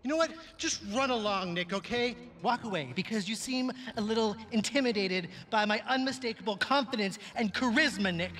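An actor playing an insecure, jealous young nerd who brags in a frantic stammer, in a video game. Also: male voice, high-pitched, fairly quick, few pauses, fairly bright tone. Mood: smug bragging. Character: nerdy, jealous young male wannabe hero, insecure braggart. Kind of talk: video game cutscene acting.

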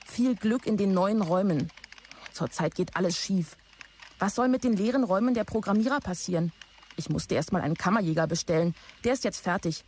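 A woman's voice: mid-range voice, darkish tone, a little hiss or hum, close to an everyday voice. An actress playing a matter-of-fact female office assistant giving reports in a German radio play.

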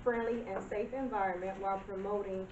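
A woman in her thirties presenting a business proposal at a village board meeting, nervous and halting, with self-conscious laughs. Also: mid-range voice, fairly even intonation, noisy background. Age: 30s. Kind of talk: public comment at a meeting. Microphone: far camera. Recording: distant roomy lo-fi meeting video.

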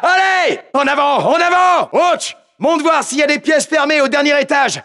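An actor playing a gritty, commanding special-forces lieutenant in a video game, giving terse, clipped orders. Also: male voice, very high-pitched, moderate pace, bright, forceful tone, raised voice, full character voice. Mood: urgent, shouting. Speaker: squad leader. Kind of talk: video game combat voicelines, French dub.

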